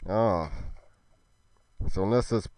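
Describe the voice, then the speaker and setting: A man's voice: fairly low pitch, very slow, darkish tone, slight background noise. A man voicing an earnest, chivalrous fantasy warrior with formal, archaic phrasing, in an amateur roleplay drama. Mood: pensive. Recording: music under the voice.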